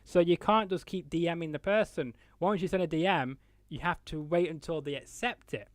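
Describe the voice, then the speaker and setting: High, clear-voiced male talk show host. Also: moderate pace, dry recording, clean studio sound. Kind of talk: live unscripted interview chat.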